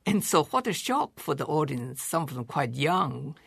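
Older male guest from China, seventies, thoughtful and unhurried, gravelly husky tone. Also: a little high, big pitch swings, a bit bright, no room sound. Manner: measured and thoughtful, pausing to rephrase. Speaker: guest scholar. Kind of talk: reflective interview answers.

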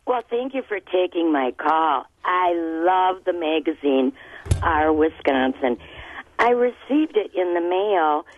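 A woman's voice: on the low side, lively intonation, takes it slowly, dry recording. A woman calling into a radio show, gushing with enthusiasm, heard over a phone line. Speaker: caller.

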